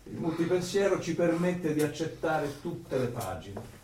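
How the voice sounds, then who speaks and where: An actor playing a coldly doctrinaire interrogator and torturer in a theatrical radio play, lecturing in a declamatory, menacing way. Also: male voice, a little high, expressive, a little slow. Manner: declamatory, lecturing, menacing, with sudden loud bursts. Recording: roomy, distant miking with background noise.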